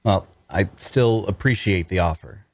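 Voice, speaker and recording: Man as an earnest traveler, low dry storytelling voice. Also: unhurried, breathy edge, no room sound, exaggerated voice. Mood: polite. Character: earnest, cash-strapped young male traveler telling his tale. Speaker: narrator and lead actor in a table read. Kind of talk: first-person fantasy narration and dialogue.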